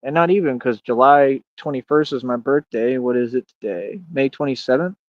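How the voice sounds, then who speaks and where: A man's voice: medium pitch, normal speed, dry recording, lo-fi sound. A man in his thirties rambling casually on a livestream in a boastful, defiant way, with slangy talk full of "man" and "bro". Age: 30s. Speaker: streamer.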